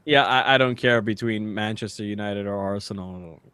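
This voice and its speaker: A man with an American accent talking casually as a podcast co-host, halting and a little pedantic. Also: fairly low pitch, bright, forceful tone, clear, resonant tone, no echo. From United States. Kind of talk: casual chat, reading text aloud.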